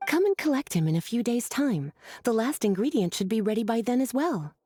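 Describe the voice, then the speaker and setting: A woman acting a sultry, teasing mentor who coaxes with playful endearments in a soft, breathy voice, in a video game. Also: fairly high pitch, big pitch swings, a little fast, no room sound. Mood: coaxing, soothing. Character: sultry, doting adult witch teacher, teasing and coaxing.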